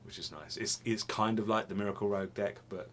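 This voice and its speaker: A man's voice: on the low side, fairly quick, a little hiss or hum. A young man chatting casually to camera in a small home room, rambling and restarting his phrases, slightly sniffly. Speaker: vlogger.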